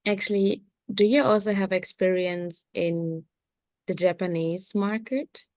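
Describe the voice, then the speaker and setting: A woman's voice: mid-range voice, fairly even intonation, unhurried, darkish tone. A woman taking part in a webinar over a video call, asking a question briefly and politely.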